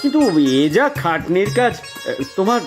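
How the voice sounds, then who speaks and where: A man's voice: sits high, very animated, moderate pace, music in the background. A man playing a good-natured, hesitant younger brother, a village fisherman in a cartoon folk tale. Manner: hesitant, exclamatory.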